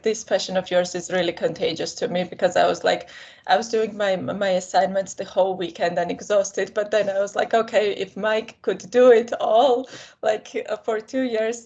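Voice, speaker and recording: Young woman from georgia, kinda husky voice, friendly encouraging mentor on a call. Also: mid-range voice, darkish tone. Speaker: graduate student mentor. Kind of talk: casual online talk.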